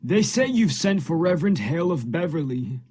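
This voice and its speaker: A man acting a forceful, suspicious Puritan husband in a radio play, insistent and formal in archaic language. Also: on the high side, big pitch swings, normal speed, breathy edge. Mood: pressing. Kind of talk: period stage drama acting, radio play.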